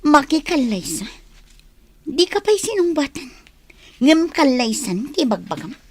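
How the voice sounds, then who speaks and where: A woman's voice: high-pitched, very animated, unhurried. A woman playing an earnest, stubborn young adult daughter in a Filipino radio drama. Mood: defiant.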